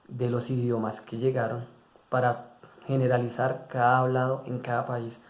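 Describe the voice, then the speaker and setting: A man giving a spoken class presentation, hesitating with fillers and restarting his words. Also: medium pitch. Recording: echoey room.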